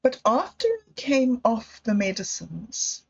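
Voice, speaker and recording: A woman hosting a livestream, talking slowly and candidly in a meandering personal monologue. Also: a little high, big pitch swings, breathy edge. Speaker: livestream host. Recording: dull lo-fi livestream audio.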